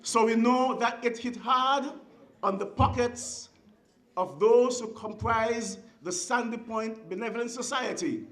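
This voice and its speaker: A speaker with a Kittitian accent giving a formal ceremonial speech in a deliberate, oratorical way, with emphatic short phrases. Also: medium pitch, fairly bright tone. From Saint Kitts and Nevis. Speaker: guest speaker at a school ceremony. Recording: echoey venue sound.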